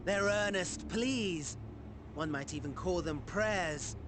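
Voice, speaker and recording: A man's voice: sits high, slow pace, noisy background. An actor playing a mocking male villain who speaks with sneering, theatrical glee in a game cutscene. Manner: sneering, theatrical, playful mockery. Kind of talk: video game cutscene acting. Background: orchestral game score.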